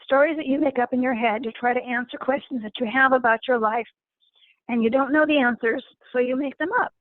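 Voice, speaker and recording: Hoarse woman speaking on a dry call. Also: high voice, fairly quick, no echo, narrow, tinny audio. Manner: gentle, repeats words while finding them. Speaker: guest facilitator. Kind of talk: informal lecture to an online group.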